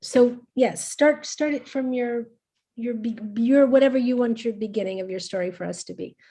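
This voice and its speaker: A woman hosting a spiritual webinar, chatting in an animated way and often restarting her sentences. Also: on the high side, moderate pace. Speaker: webinar host. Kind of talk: casual webinar chat.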